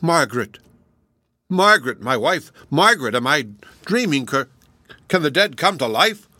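A man voicing an anguished, stunned middle-aged gentleman in dramatic, broken exclamations for an audiobook. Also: mid-range voice, lively intonation, moderate pace, no room sound, voice pushed up, close to an everyday voice. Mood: anguished, disbelieving.

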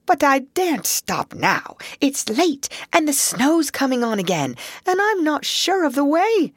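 Woman doing a brisk, cheerful water rat. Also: high voice, sing-song, no echo, studio quality, voice pushed up. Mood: urging. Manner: hearty, hurried, calling out.

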